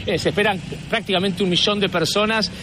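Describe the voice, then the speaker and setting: A man's voice: sits high, steady tone, fast. A male reporter, speaking live from outdoors with lots of hesitant fillers. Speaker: street reporter. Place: outdoors on a city street. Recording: street noise behind. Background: traffic and street noise.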